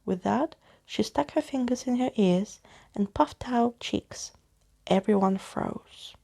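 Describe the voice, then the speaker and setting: Soft-spoken woman storyteller with heavy creak. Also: a little high, slow. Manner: expressive, acts out the characters. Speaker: storyteller reading a children's book. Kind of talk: ASMR bedtime story reading, soft-spoken.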